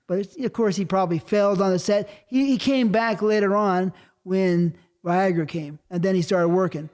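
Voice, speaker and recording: Blunt, high-voiced male host. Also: darkish tone, clear, resonant tone. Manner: blunt and profane, quick interjections. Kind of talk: casual interview chat.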